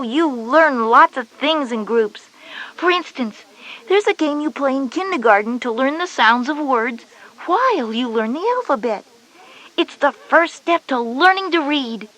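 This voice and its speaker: A woman's voice: sits high, fairly bright tone, full character voice, rough recording. A woman voicing a confident schoolgirl big sister, instructive and encouraging, in a children's educational audio drama. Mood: explaining.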